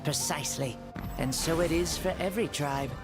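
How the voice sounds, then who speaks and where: A woman playing a calm, dignified warrior ruler in a video game, speaking in a measured, composed way. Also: low voice, natural voice. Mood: reflective. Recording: game audio under loud music.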